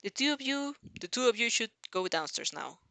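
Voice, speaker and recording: A woman's voice: a little high, sing-song, a little fast, fairly bright tone, no echo. A woman voicing a stern, exasperated male superhero who scolds emphatically in a fan-fiction reading. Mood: impatient.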